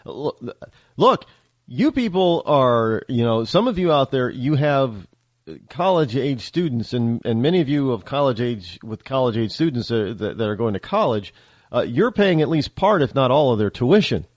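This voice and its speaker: A man's voice: medium pitch, very animated, moderate pace, no echo. A man with a slight central upstate New York accent, hosting a call-in radio talk show in a casual, rambling way with many restarts.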